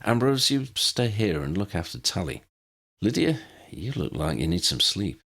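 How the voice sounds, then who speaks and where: Man playing a brisk, decisive young male leader. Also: low voice, very animated, a bit bright, breathy edge, exaggerated voice.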